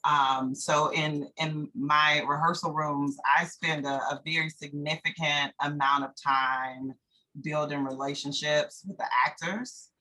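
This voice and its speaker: An American woman from North Carolina, a theatre director, answering interview questions reflectively and haltingly as she searches for words. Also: sits low, fairly even intonation, sharp tone, rough recording.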